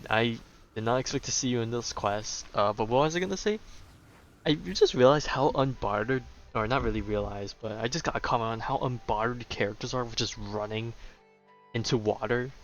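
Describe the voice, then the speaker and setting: A man's voice: mid-range voice, moderate pace, dull audio, soft background music. A man giving casual gameplay commentary as a streamer, rambling and wry. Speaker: gaming streamer.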